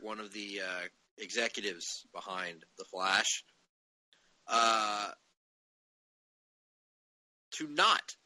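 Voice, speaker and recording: A man's voice: fairly low pitch, pushed and bright, no room sound, low-quality recording. A man hosting a podcast, casually discussing comic-book television shows. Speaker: podcast host.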